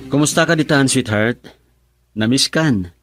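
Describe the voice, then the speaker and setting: A man's voice: mid-range voice, a little slow, over music, close to an everyday voice. A man playing an affectionate, earnest college-age boyfriend in an Ilocano radio drama. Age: young adult.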